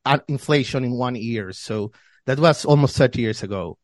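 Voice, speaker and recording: A man's voice: mid-range voice, normal speed, no room sound. Argentine man explaining in a dry close sound. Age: 30s. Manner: explanatory, with restarts and repeated words. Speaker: guest, financial newsletter founder. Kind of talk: podcast interview.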